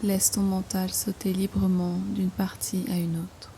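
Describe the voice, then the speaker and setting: Very slow, mellow woman guiding meditation. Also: mid-range voice, level tone, slight background noise. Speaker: yoga nidra guide. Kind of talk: guided meditation, slow soothing instruction.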